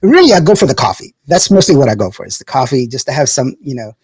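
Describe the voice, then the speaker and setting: A man's voice: mid-range voice, very animated, fast, darkish tone, breathy edge, no room sound, studio quality. A man hosting a casual radio show, rambling in a laid-back way and laughing often.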